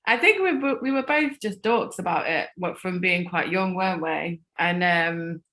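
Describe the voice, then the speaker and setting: A woman's voice: fairly low pitch, lively intonation, normal speed, a bit bright. A woman musician from Yorkshire answering interview questions in a friendly, self-deprecating way. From Yorkshire, England.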